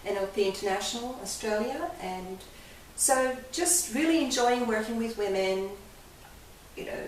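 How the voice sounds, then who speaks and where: A woman's voice: a little high, normal speed. An older woman with a Hungarian accent, speaking earnestly as a life coach guest in an interview. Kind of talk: candid interview. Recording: echoey room, mic far off, faint hiss.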